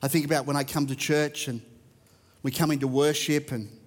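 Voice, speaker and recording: A man's voice: a little high, flat delivery, fairly quick, in a large hall. An Australian man preaching a sermon, reading verses and talking conversationally to a church. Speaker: pastor.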